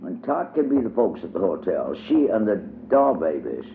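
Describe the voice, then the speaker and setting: A man's voice: low-pitched, sing-song, normal speed, creaky, exaggerated voice. A man voicing an eager, playful little girl who chatters away. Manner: eager, dialect-rich chatter. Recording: tinny phone-like audio, faint hiss.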